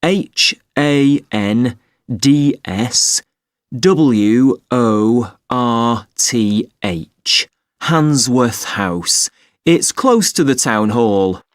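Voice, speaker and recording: Male actor as patient festival information clerk, polite, unhurried delivery, very dry studio sound. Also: medium pitch, lively intonation, dry recording. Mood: helpful, polite. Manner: measured, helpful, spells words out slowly. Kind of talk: acted listening-test dialogue, clearly enunciated.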